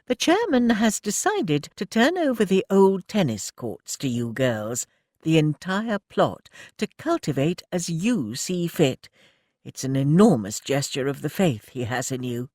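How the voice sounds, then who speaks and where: A man's voice: fairly high pitch, very animated, measured pace, darkish tone, no room sound, clean studio sound. A man voicing a refined middle-aged lady who speaks with prim, patronising politeness. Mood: officious. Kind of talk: audiobook character voice.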